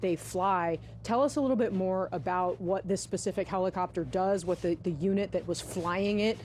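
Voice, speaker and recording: Low-voiced woman anchoring live news outdoors. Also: moderate pace, darkish tone. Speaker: news anchor reporting on location. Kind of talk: live breaking news coverage, conversational. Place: outdoors by a river in the city, helicopters overhead. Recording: outdoor background noise, helicopter and vehicle rumble.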